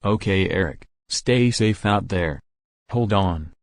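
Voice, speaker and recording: A man's voice: deep voice, slow, gravelly, heavy background noise, heavily acted. A man playing a composed, businesslike local news anchor delivering scripted breaking news.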